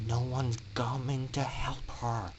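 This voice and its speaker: A man's voice: mid-range voice, level tone, slow, a little hiss or hum, pitched below the performer's own voice, close to an everyday voice. A man voicing a defiant, seething young male pegasus student in a dramatic story reading. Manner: defiant, speaking through clenched teeth.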